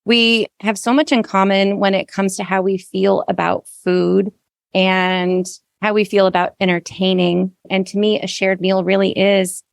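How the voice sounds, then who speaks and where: Creaky-voiced woman hosting a podcast. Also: medium pitch, little pitch movement, measured pace, no echo, clean studio sound. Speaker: podcast host. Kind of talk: podcast introduction and conversational interview.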